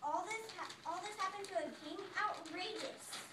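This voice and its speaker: A haughty, easily offended medieval queen acted by a woman in an amateur stage skit. The voice is high and comes through from a distance in an echoey room. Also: normal speed, heavy background noise. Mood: outraged, baffled. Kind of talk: amateur readers' theater game show skit.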